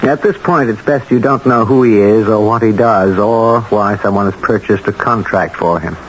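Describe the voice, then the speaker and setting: An actor playing a polite, businesslike broker of murder contracts in a 1970s radio drama, measured and coolly instructive. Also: male voice, fairly low pitch, natural voice. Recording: muffled vintage radio with faint noise.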